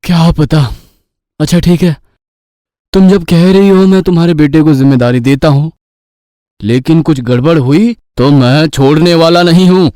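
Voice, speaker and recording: Guy doing a grumbly cartoon village dad, scolding, highish voice, dry close sound. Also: steady tone, normal speed, mellow, dry recording, natural voice. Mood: doubtful. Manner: scolding, sighing, doubtful. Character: exasperated, grumbling village father, middle-aged or older. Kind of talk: Hindi cartoon story dialogue.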